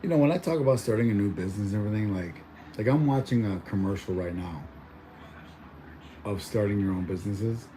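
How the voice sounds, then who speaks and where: A man's voice: fairly low pitch, a little fast, dark tone, a little hiss or hum, poor audio. A young man born in Mexico chatting casually at home, rambling with lots of fillers and restarts. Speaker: vlogger. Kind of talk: casual chat.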